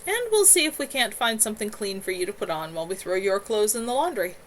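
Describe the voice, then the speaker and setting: A woman's voice: mid-range voice, big pitch swings, quick pace, slight background noise, lightly acted. Floria, a woman reading a firm, motherly witch. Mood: brisk, kindly. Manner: warm but firm, brooks no argument.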